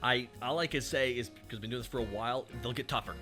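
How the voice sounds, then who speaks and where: Brief: male streamer, animated casual chat, crisp bright tone. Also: medium pitch, quick pace, faint music behind. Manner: animated, does cartoon impressions.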